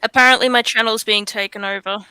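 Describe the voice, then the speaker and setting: A woman co-hosting a casual livestream, playfully bossy and quick to swear. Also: fairly high pitch, steady tone, sharp tone, clean tone, poor audio. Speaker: livestream co-host, channel owner.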